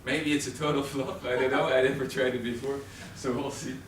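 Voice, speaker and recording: Young Dutch man presenting live, halting and filler-heavy; recorded from far back in a roomy, noisy training room. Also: medium pitch, flat delivery. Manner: hesitant, many fillers and restarts. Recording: roomy, distant camera audio with noise.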